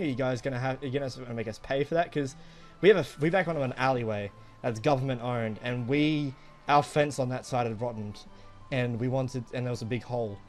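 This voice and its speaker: A young man casually telling a rambling, profanity-laden personal story to friends. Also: medium pitch. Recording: music behind the voice. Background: background music.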